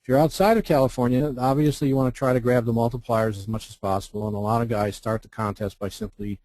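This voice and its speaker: A man's voice: mid-range voice, fairly even intonation, fast talker, a little mellow, no echo. An American man giving an informal instructional presentation, explaining things by posing questions and then answering them. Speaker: presenter, contest representative.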